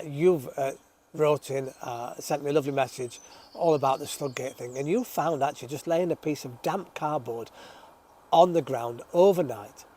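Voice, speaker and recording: A chatty man whose voice sits a touch high and is still croaky from a recent illness. He talks casually to his viewers about his allotment, reading out their comments in a friendly way. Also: a little mellow, slight background noise. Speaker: host of an allotment gardening show. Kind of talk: casual chat to camera. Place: outdoors on an allotment, birdsong around.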